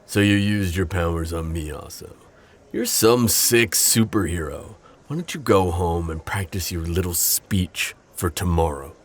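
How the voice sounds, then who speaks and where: A man playing a gentle, protective civilian friend in an audio drama, earnest and hesitant with a slight stammer. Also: low-pitched, measured pace. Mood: indignant. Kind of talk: naturalistic audio roleplay drama acting.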